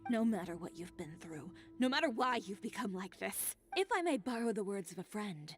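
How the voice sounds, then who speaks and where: A woman's voice: mid-range voice, lightly acted. A woman voicing a cool, poised fashion designer. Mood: stern. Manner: calm, composed, measured delivery. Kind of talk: game cutscene voice acting. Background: game music.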